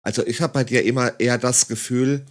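A man's voice: mid-range voice, steady tone, a little fast. A man giving mocking, condescending commentary on a video clip in a sarcastic tone. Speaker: satirical commentator.

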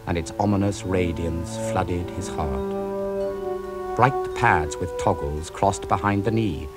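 Slow, low-voiced male poetry reader. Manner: slow, measured, dramatic delivery. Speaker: narrator. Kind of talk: scripted poetry reading.

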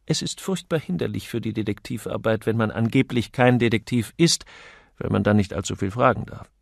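A man's voice: fairly low pitch, a little fast, creaky, no room sound, studio quality. A man voicing a witty, flippant upper-class amateur detective in an audiobook, chatty, wry and urbane. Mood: ironic, wry.

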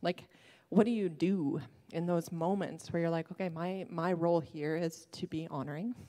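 A woman's voice: fairly low pitch, steady tone, moderate pace, dark tone, in a large hall. A middle-aged woman, chatting and asking questions on a church stage, thinking aloud with a casual, searching way of talking. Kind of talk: conversational stage discussion. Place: church stage, large hall. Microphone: handheld mic.

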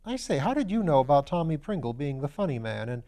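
A man voicing a flustered, slow-witted young gentleman, exclaiming in muddled fashion, in a storybook reading. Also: fairly high pitch, sing-song, voice pushed up. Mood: befuddled, excited.

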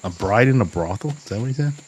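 Laid-back american-born guy streaming a game from home, chatting with chat, lowish voice, desk mic. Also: quick pace, a little hiss or hum, low-quality recording. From USA. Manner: relaxed, chatty, talks to chat. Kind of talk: casual gameplay commentary.

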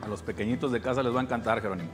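A man's voice: mid-range voice, racing, soft background music. A man co-hosting a cooking segment, chiming in with short eager remarks. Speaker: co-host. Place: TV studio kitchen set.

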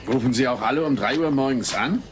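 An actor playing a reserved, bluntly polite new neighbor in a sitcom audio drama. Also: male voice, medium pitch, fast talker, lightly acted. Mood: dry. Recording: noisy cassette tape with clicks.

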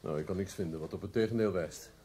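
An actor playing a detached police doctor in radio play dialogue, measured and clinical. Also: male voice, deep voice, mellow, slight background noise, lightly acted. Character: detached, matter-of-fact male police doctor.

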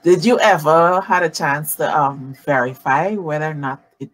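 A woman in her forties hosting a live-streamed interview from home over a video call, animated and greeting viewers by name. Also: low-pitched, moderate pace, low-quality recording. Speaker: talk show host. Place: home room, indoors. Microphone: video call webcam.

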